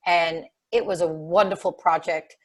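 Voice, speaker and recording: A woman's voice: fairly low pitch, steady tone, normal speed. A woman panelist speaking earnestly and casually on a video call. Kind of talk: webinar panel discussion.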